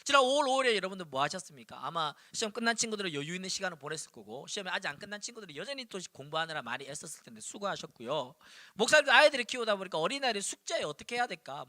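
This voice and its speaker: A youth pastor in his forties, a man from Daegu, preaches with animated storytelling and punchy repetition, in Seoul Korean with slight Daegu traces. His voice sits very high and sounds bright and forceful, and it swings widely as he talks at a fast clip. The recording is close and dry. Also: no room sound. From Daegu, South Korea. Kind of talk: sermon to high schoolers. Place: church.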